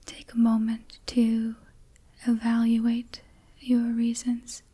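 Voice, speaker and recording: A woman guiding an ASMR meditation in a soothing, hushed, whispery soft voice, leaving long pauses between short phrases. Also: on the high side, completely flat delivery, husky. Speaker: ASMR host, meditation guide.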